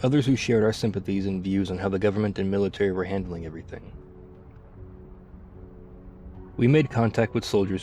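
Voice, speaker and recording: A man acting as a battle-worn ex-soldier in an apocalyptic ASMR roleplay, with a dark, mellow, lowish voice. He confides in a halting, reflective, wistful way, close and intimate. Soft music plays faintly underneath. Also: natural voice. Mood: nostalgic, wry.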